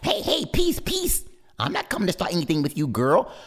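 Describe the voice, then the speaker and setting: A man voicing a young boy hawk chick who whines and cries out in a high squeal. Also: huge pitch swings, brisk, gravelly, fairly bright tone, big-room sound, heavily acted. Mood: whiny. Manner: squealing, crying out.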